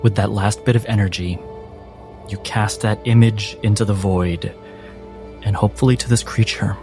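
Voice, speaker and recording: A man narrating a tabletop game as game master, in deliberate, suspenseful phrases broken by pauses. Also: fairly low pitch, steady tone. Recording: voice over background music.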